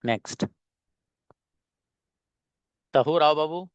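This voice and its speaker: A man's voice: on the high side, slow pace, clean tone, no echo. A man teaching an online English class, calling on students one by one with brief, encouraging words.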